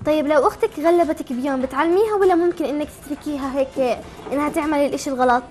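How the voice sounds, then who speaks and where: Woman, high pitch, racing pace, encouraging call-in host, chatty, music behind. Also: racing, a little mellow. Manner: encouraging, asks simple questions. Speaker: TV show host. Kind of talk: chatty live call-in hosting.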